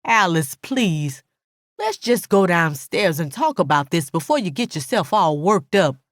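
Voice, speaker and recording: Woman acting as guilty friend, pleading, placating, unhurried, dry recording, audiobook drama. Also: fairly low pitch, very animated, no echo. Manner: imploring, placating. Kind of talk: dramatic audiobook character dialogue.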